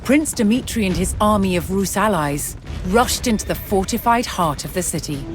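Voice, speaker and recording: A woman reading scripted documentary narration in a measured, dramatic storytelling manner. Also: mid-range voice, animated. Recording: music under the voice. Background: music.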